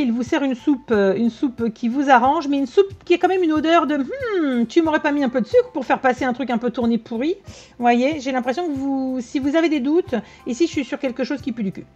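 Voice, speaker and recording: A woman medium giving a casual reading to her viewers, blunt and slangy, with quick asides. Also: high-pitched, darkish tone. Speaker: tarot and psychic medium. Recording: dull lo-fi sound with faint music.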